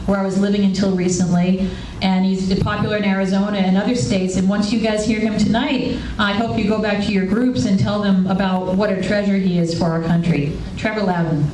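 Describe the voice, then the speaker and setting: A woman introducing the guest speaker at a meeting, full of warm, enthusiastic praise. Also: medium pitch. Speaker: event organizer introducing the speaker. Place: meeting hall. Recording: echoey hall from far off, faint noise.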